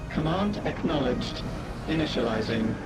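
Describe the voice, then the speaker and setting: A man's voice: medium pitch, lively intonation, moderate pace, lightly acted, rough recording. A man playing an emotionless, obedient machine in a video game, clipped and formal, with music behind. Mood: impassive. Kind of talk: video game system announcement.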